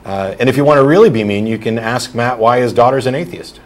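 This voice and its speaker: A man's voice: mid-range voice, fast. A man hosting a call-in talk show, answering a caller bluntly and explaining his arguments at length. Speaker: host.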